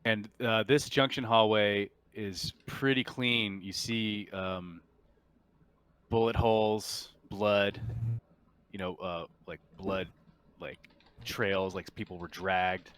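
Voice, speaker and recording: A man narrating a tabletop roleplaying game as game master in a halting, casual way. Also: fairly low pitch, fairly even intonation, a bit bright, clear, resonant tone. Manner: halting, casual, pieces descriptions together aloud.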